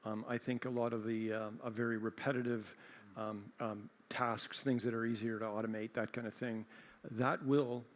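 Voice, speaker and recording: A man speaking as a panelist, deliberate, with frequent ums as he explains. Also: mid-range voice, level tone. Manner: deliberate, with fillers. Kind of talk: panel discussion.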